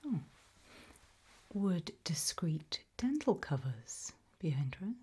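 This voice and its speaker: A woman whispering softly in an ASMR roleplay as a tailor, asking questions in a polite, measured, formal manner. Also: on the low side, very animated. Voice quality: soft, breathy unvoiced whisper.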